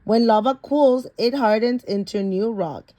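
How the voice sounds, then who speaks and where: Slow-paced woman reading a picture book aloud. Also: fairly high pitch, warm tone. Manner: stumbles over harder words and corrects herself. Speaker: story reader.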